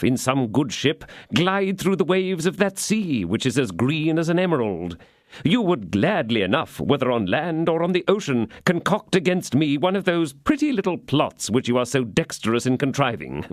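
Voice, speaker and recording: A man's voice: fairly high pitch, no echo, voice pushed up. Guy doing a sneering vengeful lord, raised voice, mocking and menacing, dry sound. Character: vengeful sneering nobleman, the Baron.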